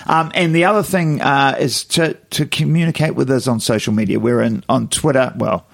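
Croaky New Zealand man chatting casually. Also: mid-range voice, fairly even intonation, normal speed. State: slightly hoarse from yelling. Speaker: podcast co-host. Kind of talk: casual chat.

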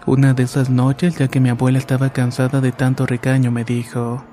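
A man narrating horror stories from a script in a calm, even reading. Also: medium pitch, a little fast, soft background music.

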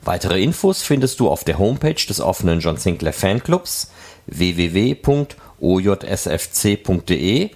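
Low-voiced man with very lively intonation. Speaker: podcast host reading fan news. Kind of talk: scripted news announcements.

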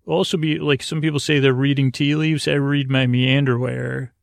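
Male sleep podcast host: gravelly, creaky, meandering and halting, whimsical, recorded close and dry. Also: mid-range voice, level tone, dry recording. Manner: meandering, halting, stammering, repeating himself; soothing, whimsical, absent-minded. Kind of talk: rambling bedtime monologue meant to lull listeners to sleep.

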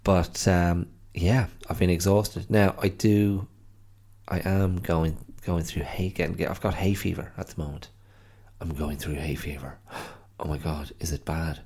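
A man's voice: low-pitched, steady tone, unhurried, darkish tone, husky. An Irish man hosting his own podcast, chatting casually and rambling with many self-corrections, tired and a little stuffy-nosed from hay fever. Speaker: podcast host. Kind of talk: casual solo podcast chat.

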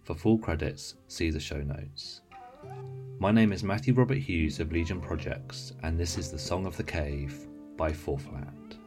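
Low-pitched man introducing a meditation. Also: measured pace, music in the background. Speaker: host introducing an audio series. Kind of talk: scripted introduction to a guided meditation.

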